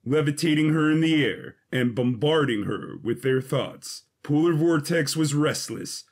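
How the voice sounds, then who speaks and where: A man with a husky voice giving a scripted, dramatic narration of an adventure story. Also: mid-range voice.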